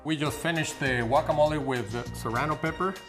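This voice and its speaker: A man from Mexico City with a Mexican Spanish accent, a guest demonstrating a recipe in a kitchen and talking haltingly with many pauses and fillers. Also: fairly high pitch. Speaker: guest cook. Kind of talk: cooking demonstration. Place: home kitchen. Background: background music and sizzling pan.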